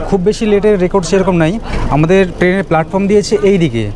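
Fast-talking young man vlogging on a train. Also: high-pitched, crowd noise. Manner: chatty, describing things as he films them. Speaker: travel vlogger. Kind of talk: casual travel vlog narration. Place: inside and beside a moving sleeper train at night.

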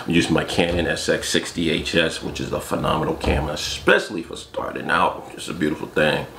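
A man in his forties with a very low, deep voice chats casually to the camera from a room at home. He keeps it relaxed and throws in jokey asides. The room sounds echoey, with clear noise in the background. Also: fast talker. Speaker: vlogger. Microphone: external mic on top of the camera.

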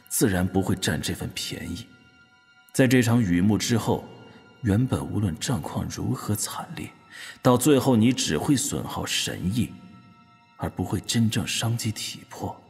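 An actor playing a proud, cold young eunuch martial master in an audio drama, speaking formally and sternly. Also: male voice, deep voice, unhurried, creaky, darkish tone, slightly rough, heavily acted. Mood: cold, solemn. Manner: stern, probing, archaic formal diction. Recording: long hall reverb, music underneath. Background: background music.

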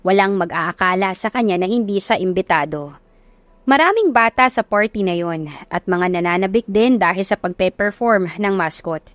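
A woman's voice: medium pitch, fairly bright tone, no echo. A woman giving scripted audiobook narration of a romance story as its narrator.

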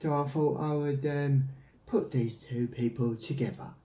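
A man's voice: medium pitch, steady tone, unhurried, mellow. A man in his thirties with an English accent chats casually as a caller, hesitant and rambling, in a domestic room. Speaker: prank caller. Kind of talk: casual phone chat. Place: small domestic room, indoors. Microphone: camera at about arm's length. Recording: echoey room.

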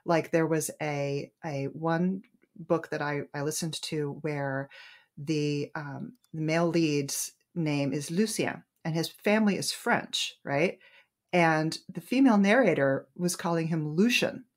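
Low-voiced woman author, thoughtful. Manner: thoughtful, halting, restarts phrases. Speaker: romance author, guest. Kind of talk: conversational interview.

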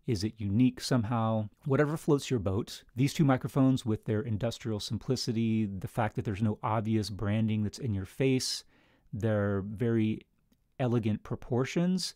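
A man's voice: on the low side, moderate pace, mellow, no room sound. A man in his thirties explaining a product to camera as a tech reviewer, recorded close on a broadcast microphone. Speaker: tech reviewer, video host. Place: small home studio room, indoors. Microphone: close broadcast microphone on desk stand.

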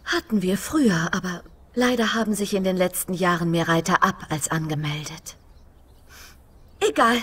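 A woman playing the composed, gracious head of a riding academy, speaking politely and with patient authority, as in an animated film. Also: fairly low pitch, moderate pace, slightly rough. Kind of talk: animated film dub acting.

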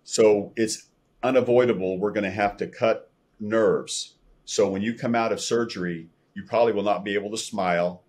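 An older American man around sixty, telling his personal testimony as a podcast guest in an earnest, halting way over a video call from a room at home. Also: lowish voice, fairly even intonation, darkish tone, husky. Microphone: video call, computer microphone at desk distance.

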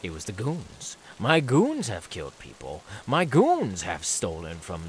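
Unhinged serial killer, wildly swinging pitch. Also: male voice, huge pitch swings, unhurried, slight background noise. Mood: smug. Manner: theatrical, swinging between hushed whispers and manic outbursts. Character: unhinged gloating male serial killer, menacing, mocking, volatile. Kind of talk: ASMR horror audio roleplay acting.

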